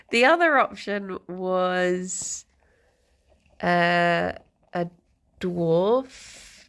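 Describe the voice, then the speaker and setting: A woman streamer chatting casually and greeting viewers by name, a bit snuffly from a cold. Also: mid-range voice. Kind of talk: casual chat with live chat.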